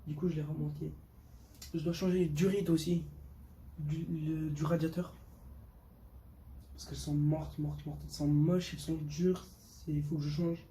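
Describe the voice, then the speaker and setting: Mellow teenage boy, casual and slangy. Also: on the high side, level tone, moderate pace, long pauses, poor audio. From Portugal. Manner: slangy, repeats words for emphasis. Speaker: vlogger showing motorbike. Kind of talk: casual unscripted vlog talk. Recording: clear background noise.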